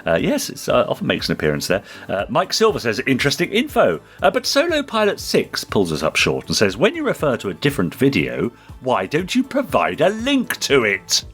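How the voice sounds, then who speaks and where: A man hosts a podcast, moving between reading aloud and jovial chat. His mid-pitched voice swoops widely, over faint music. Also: wildly swooping pitch, a little fast, few pauses, a bit bright, slightly rough. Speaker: podcast host. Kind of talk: reading out and chatting.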